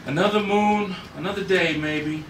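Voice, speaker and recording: A man's voice: sits high, moderate pace, natural voice. A man reading an eager, outspoken space ranger sidekick at a script table read, with a brash, informal manner. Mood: resigned. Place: room at a group table read. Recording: echoey noisy room, far from mic.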